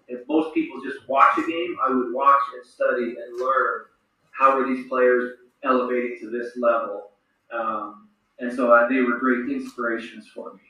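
A middle-aged man from Saskatchewan, a retired hockey player slowly answering children's questions with a reflective manner and restarts. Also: mid-range voice, bright, forceful tone. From Saskatchewan, Canada. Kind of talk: thoughtful Q&A with children. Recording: roomy, echoey sound from far off.

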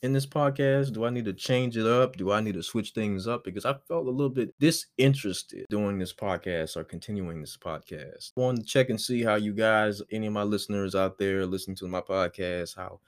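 A twenty-year-old man hosting his solo podcast, rambling in a relaxed way with lots of fillers. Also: lowish voice, fairly quick, hardly any pauses, dry recording, studio quality. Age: 20s. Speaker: podcast host.

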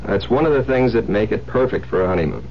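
A man playing a breezy, smooth-talking new husband in an old-time radio thriller, teasing and theatrical. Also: on the low side, expressive, fast. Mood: teasing, coaxing. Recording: muffled old radio recording with noise, hum.

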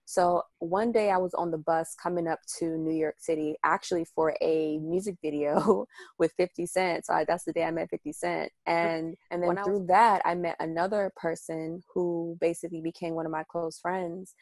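A woman's voice: on the low side, steady tone, moderate pace, a little mellow, no echo. A young woman from the Washington DC and Maryland area, telling her life story in a casual interview, chatty and full of detail. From United States, Washington DC and Maryland area. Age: young adult. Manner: chatty, detailed storyteller with frequent ums and laughs. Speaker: radio show host and guest.

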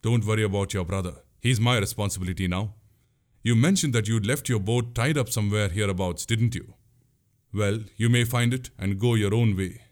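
A man's voice: fairly low pitch, a little fast, lightly acted. Man as a bold, resolute young prince. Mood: reassuring. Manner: formal, resolute, archaic wording. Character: bold, commanding young prince, stubborn yet calm.

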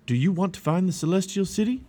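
A kind old guide from a children's story, acted by a man. He speaks up with reassurance and certainty, his pitch moving expressively. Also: lively intonation, fast talker, dark tone. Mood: kindly, assured.

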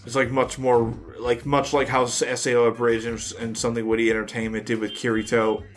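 A man's voice: mid-range voice, level tone, a little fast, soft background music. Rambling, slangy man on a podcast. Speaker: podcast co-host. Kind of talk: casual chat.

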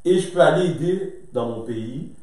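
A man, a basketball coach telling his story in a casual chat, talking in animated, rambling bursts and often checking that he is understood. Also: fairly high pitch, moderate pace. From Quebec, Canada. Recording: very echoey room, distant mic.

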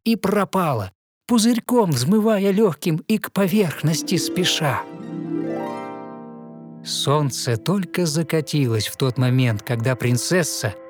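Higher-pitched male storyteller over music. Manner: expressive, rhythmic recitation. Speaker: narrator. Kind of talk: scripted storytelling of a fairy tale in verse. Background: music behind the voice.

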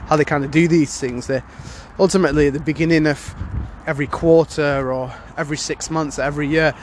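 Man, blocked-up cold voice, rambling podcast chat, fairly high pitch, light street noise. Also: fairly even intonation, a little fast. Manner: rambling, many fillers and restarts. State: congested from a cold. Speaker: podcast host. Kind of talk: casual solo podcast talk.

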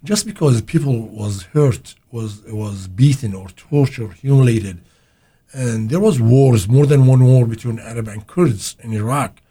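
Kurdish-accented man, mellow halting voice. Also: medium pitch, breathy edge. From Iraqi Kurdistan. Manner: halting, many uh fillers and restarts. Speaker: guest, hospital interpreter. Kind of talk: casual interview talk.

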